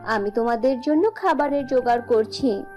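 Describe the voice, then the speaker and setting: A woman's voice: fairly high pitch, moderate pace, a little mellow, soft background music, lightly acted. A woman voicing a loving, anxious mother fairy in a children's cartoon, tender and doting. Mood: indulgent, caring.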